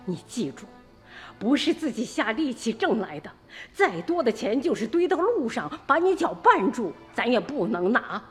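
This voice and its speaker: A woman's voice: on the high side, big pitch swings, moderate pace, long reverb tail, soft background music. An actress playing a principled rural mother in a radio drama, firm and admonishing toward her son. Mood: stern.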